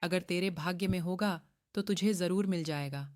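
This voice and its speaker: A woman voicing a calm, reassuring village mother of a grown son in a cartoon moral story. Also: on the low side, moderate pace, heavy background noise, natural voice. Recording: quiet voice buried under loud music.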